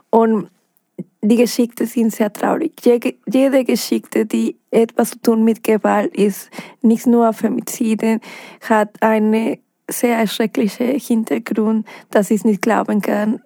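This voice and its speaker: A woman's voice: a little high, vocal fry, dark tone, no echo. A woman from Mexico with a Mexican Spanish accent, speaking German as a second language as a guest on a radio interview, earnest and hesitant, with many fillers and restarts. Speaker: documentary filmmaker, studio guest. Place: radio studio.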